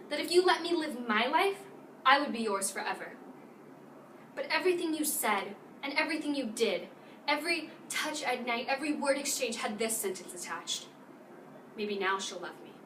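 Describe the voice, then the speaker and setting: A woman playing a wistful, proudly independent former lover in a reflective, emotional dramatic monologue. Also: sits high. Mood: resolute, reproachful. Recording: roomy, distant mic, loud background noise.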